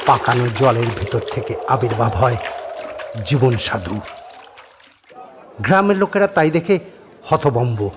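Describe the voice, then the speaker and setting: A man narrating a scripted horror story dramatically, with suspenseful pauses, over atmospheric ambience. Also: mid-range voice. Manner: suspenseful, theatrical phrasing with pauses. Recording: faint background noise and ambience.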